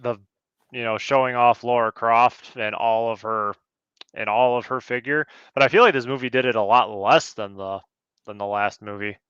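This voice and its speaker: A man co-hosting a casual film review, measured and fair-minded, on slightly dull audio. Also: mid-range voice, steady tone, fairly bright tone, clear, resonant tone, no echo. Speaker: podcast co-host reviewing a film. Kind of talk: casual movie review chat.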